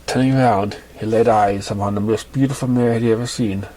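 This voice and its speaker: A man narrating scripted fiction as an amateur reader, halting and sometimes stumbling over his words. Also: lowish voice, steady tone, dark tone, low-quality recording.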